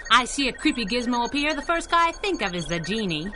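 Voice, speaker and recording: Cocky crime-fighting dame, fairly high, animated, teasing period radio banter. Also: female voice, quick pace, background noise. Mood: wary, wisecracking. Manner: teasing, wisecracking, 1930s slang. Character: sassy, cocky, taunting masked superheroine. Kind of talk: 1930s-style radio drama acting.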